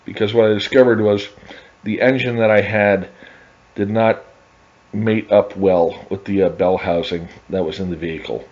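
A middle-aged man with a low voice talks casually over his photos, often pausing on fillers and restarting his sentences. The recording is dull and lo-fi, lacking crisp top end. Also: steady tone, darkish tone. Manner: halting, many uh fillers and restarts. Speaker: hobby car restorer narrating his project.

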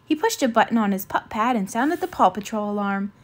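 A woman reading a children's storybook aloud in an expressive style. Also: medium pitch, brisk. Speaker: children's book reader.

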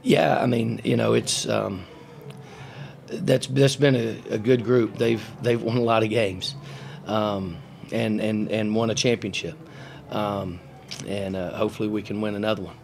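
A middle-aged coach speaks into a table mic at a postgame press conference, his low voice gravelly with fry. He talks in a halting, matter-of-fact way, looping through fillers and restarts, and a faint hum of room noise sits behind him. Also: fairly even intonation, darkish tone. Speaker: basketball coach. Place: press room in front of a sponsor backdrop. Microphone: table mic on a stand.

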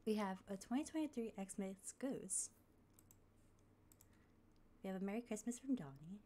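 A woman chats through a live game stream, her voice a little raspy from a coming cold. She rambles with fillers and thanks followers as they come in. Faint computer noise and keyboard clicks sit behind her. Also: mid-range voice, lively intonation, unhurried, dark tone. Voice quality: slightly raspy. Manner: chatty, thanks followers, thinks aloud with fillers. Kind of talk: casual live-stream chat.

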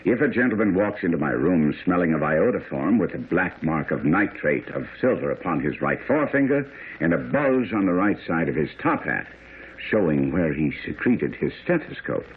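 A brilliant, teasing gentleman detective in a radio play. He lectures with confident theatricality in a low voice that leaps and dips. The sound is thin and narrow like an old broadcast. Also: very animated, moderate pace, breathy edge, heavily acted. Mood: self-assured, amused. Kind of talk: radio drama acting.

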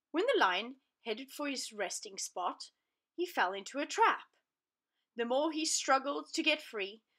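A woman narrating a children's storybook aloud in an expressive, deliberate, dramatic way. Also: fairly high pitch.

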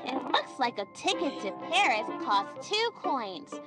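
A cheerful little girl presents a cartoon children's show. Her voice is high and animated, and she speaks slowly and sing-song, putting questions straight to young viewers. Music plays behind her. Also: exaggerated voice. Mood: bright, cheerful. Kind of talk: children's educational cartoon acting.

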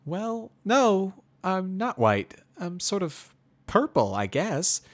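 Man putting on a high, proper female pony. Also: big pitch swings, slow pace, full character voice. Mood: inquisitive. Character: polite, inquisitive female pony doctor-like questioner.

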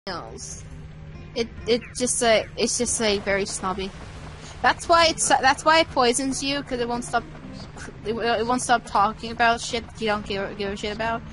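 A woman's voice: on the high side, moderate pace, fairly bright tone, faint music behind, poor audio. A woman chatting casually and playfully over a video game, giggly, often acting out silly little lines. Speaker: co-commentator on a game playthrough. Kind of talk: casual gameplay chat.

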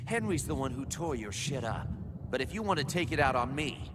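An actor playing a brash, impatient assassin in a video game, talking in a cocky, sarcastic, slangy way. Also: male voice, mid-range voice, animated, brisk, background noise, close to an everyday voice. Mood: cocky, irritated. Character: brash, impatient, foul-mouthed male assassin. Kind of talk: video game cutscene acting.

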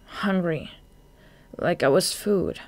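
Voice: medium pitch, slow pace, no echo. A storybook narrator voicing a frightened, guilt-ridden young male bat with urgent, emotional outbursts. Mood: shaken.

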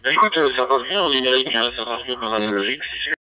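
A man's voice: medium pitch, animated, very fast, bright, forceful tone. A man chatting casually as an amateur operator over a two-way radio. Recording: dull, distant, dry radio transmission.

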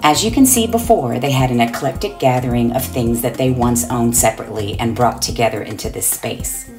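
A woman's voice: a bass voice, a little fast, music in the background. A woman interior designer narrating a reveal video of a finished home in an upbeat, enthusiastic voice-over.

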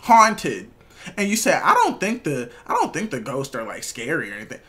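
A man's voice: a little high, huge pitch swings, normal speed, sharp tone. A young man hosting a reaction video with casual, profane commentary, blunt and incredulous. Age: young adult.

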